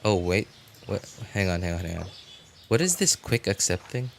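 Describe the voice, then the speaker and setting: A man giving casual live game commentary as a streamer, rambling and halting, often breaking off mid-thought to react. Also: low voice, big pitch swings, gravelly, slight background noise.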